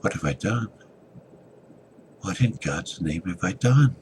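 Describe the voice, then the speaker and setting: A man's voice: sits low, expressive, takes it slowly, noisy background, voice pushed up. A man voicing an anguished, guilt-stricken character in a dramatic story reading, his delivery agonized and emphatic. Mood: anguished, remorseful. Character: anguished man, stunned with guilt after beating someone.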